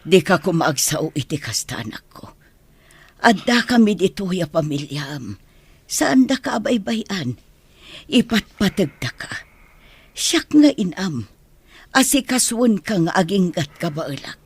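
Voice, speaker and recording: Brief: low, husky-voiced mother figure, soft and soothing, radio play. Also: very animated, a little slow, pauses often, heavily acted. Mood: tender. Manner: soft, comforting. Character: caring mother and grandmother, gentle.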